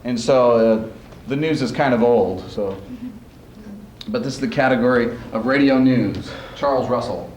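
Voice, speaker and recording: A man's voice: mid-range voice, normal speed, a little mellow. A man speaking as a coach and host, informally introducing an event to a live audience in a rambling, explanatory way. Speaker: speech coach hosting a student showcase. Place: large room at a school event. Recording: echoey, noisy archive recording of live event.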